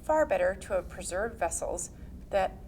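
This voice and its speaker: A woman's voice: mid-range voice, expressive, moderate pace, darkish tone, rough recording. Woman reading formally, faint background noise. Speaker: reader. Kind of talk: scripted reading of historical religious text.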